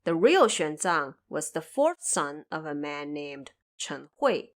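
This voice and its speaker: Woman podcast host with lively, swooping intonation. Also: sits low, slow. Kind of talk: scripted explanatory narration.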